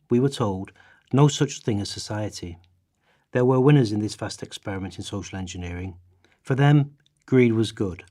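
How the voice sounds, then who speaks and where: Man, slightly low pitch, declamatory, emphatic, dramatic reading for radio. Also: a little mellow. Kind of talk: dramatic read quotations in a radio play.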